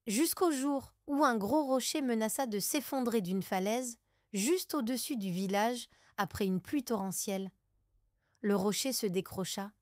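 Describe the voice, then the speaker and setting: Woman narrating a children's story, unhurried pace. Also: medium pitch, a little mellow, dry recording, studio quality.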